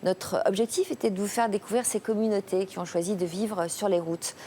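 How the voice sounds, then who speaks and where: A woman hosting a television talk show, guiding guests with soft murmurs of encouragement. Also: medium pitch, brisk, slight background noise. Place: television studio.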